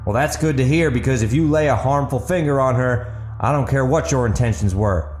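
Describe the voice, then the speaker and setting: A man's voice: mid-range voice, fairly quick, a little mellow, roomy sound, faint music behind, natural voice. A man voicing a fierce, protective husband who leads an armed party in an audiobook drama. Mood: furious.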